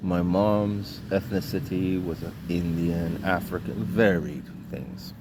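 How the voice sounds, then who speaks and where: A man's voice: deep voice, steady tone, slow pace, noisy background. A man from Trinidad and Tobago delivering a blunt, indignant vlog rant in short clipped sentences, swearing freely. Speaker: vlogger. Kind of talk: ranting monologue.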